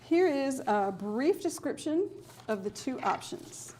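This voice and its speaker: Mellow-voiced woman examiner giving instructions. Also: high-pitched, very animated, slight background noise. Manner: clear, measured, guiding. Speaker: speaking test examiner. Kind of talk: scripted test instructions.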